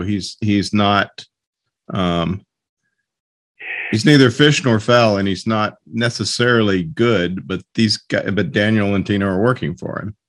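A man hosting a casual interview, speaking in a halting, searching way. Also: on the low side, fairly bright tone, no room sound, studio quality. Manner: hesitant, halting phrasing. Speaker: interviewer, podcast host.

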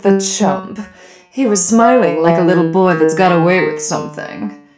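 An actress playing a cold, brazen murderess, a noir femme fatale, in an audio drama. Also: female voice, lowish voice, loud music behind the voice, lightly acted. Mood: smug, flippant. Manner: flippant, scornful, deliberate.

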